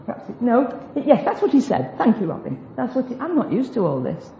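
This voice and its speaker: A woman's voice: a little high, sing-song, fairly quick, gravelly, noisy background. A woman giving an informal Bible teaching talk to a group, chatty and self-deprecating, with joking asides. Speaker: speaker teaching a group about biblical Hebrew. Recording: roomy, dull lo-fi recording of a talk.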